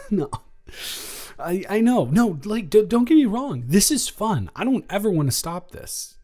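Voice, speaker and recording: A man playing an awkward, affectionate high school boy in a romantic audio roleplay, speaking in a rambling, stammering way. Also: huge pitch swings, darkish tone, faint music behind, full character voice. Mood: flustered, affectionate. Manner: halting, rambling, stammering, self-deprecating.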